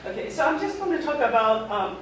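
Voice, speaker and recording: A middle-aged woman from Taiwan giving an informal talk to a student group, speaking in halting phrases that often restart. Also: high voice, big pitch swings. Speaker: guest speaker. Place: lecture room. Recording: very echoey room, mic far off, background noise.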